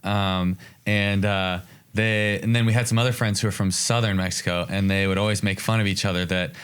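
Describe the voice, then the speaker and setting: Deep-voiced man, smooth chatty co-host. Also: steady tone, a little fast. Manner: chatty, tells anecdotes, laughs along. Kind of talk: casual interview chat.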